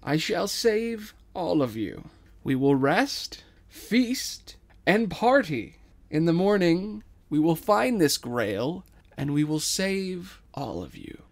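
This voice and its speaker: A man's voice: on the high side, sing-song, takes it slowly, creaky, voice pushed up, heavily acted. A man voicing a scummy schemer who poses as a regal king in a storytelling podcast, declaiming grandly. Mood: grandiose. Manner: grandiose, declamatory when addressing subjects. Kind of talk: dramatized script reading.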